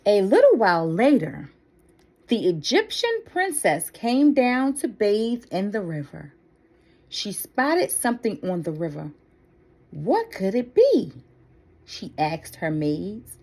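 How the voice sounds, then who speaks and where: A woman storyteller reads a children's story at a very slow, deliberate pace. Her pitch sits in a middle range for a woman but swings dramatically up and down. She phrases carefully and expressively, as if for young listeners. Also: very animated, mellow. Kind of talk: read-aloud children's Bible story.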